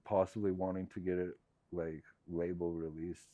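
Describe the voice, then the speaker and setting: A man with a low voice, a musician guest in a casual interview, speaking haltingly with many fillers and trailing thoughts. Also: flat delivery, warm tone, no echo.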